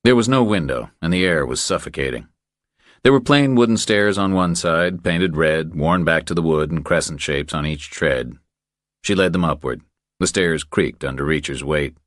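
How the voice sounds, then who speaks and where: A man with an extremely low voice narrates a thriller audiobook. The sound is close and dry, as scripted storytelling. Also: no room sound.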